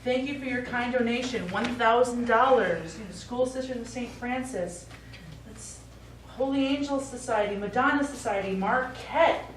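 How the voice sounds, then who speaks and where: A woman's voice: fairly high pitch, moderate pace, natural voice. An actress playing a concerned middle-aged daughter pleading with her elderly father in a stage play. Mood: questioning. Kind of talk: live stage play acting. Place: small indoor theatre stage. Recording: echoey theatre, distant mic, faint noise.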